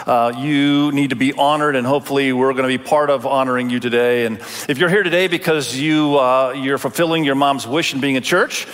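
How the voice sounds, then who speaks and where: A middle-aged male pastor raised in Indonesia, preaching a Sunday sermon in a relaxed, conversational way with many fillers and restarts. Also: mid-range voice, brisk, hardly any pauses. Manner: warm conversational delivery with frequent fillers and restarts. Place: large church auditorium. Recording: long church reverb.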